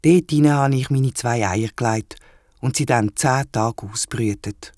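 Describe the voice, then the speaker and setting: A storyteller voicing a brisk, matter-of-fact, gently chiding platypus mother with a Swiss German accent in a children's audio story. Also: mid-range voice, dry recording, clean studio sound, natural voice. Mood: explaining. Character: brisk, teasing platypus mother with newborn twins.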